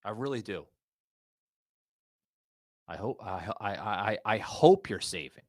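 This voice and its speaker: A man's voice: on the low side, animated, measured pace, darkish tone, dry recording, clean studio sound. A man in his forties hosting a podcast, rambling and repeating himself as he talks to his listeners. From Florida. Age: 40s. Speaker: podcast host. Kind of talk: casual rant monologue.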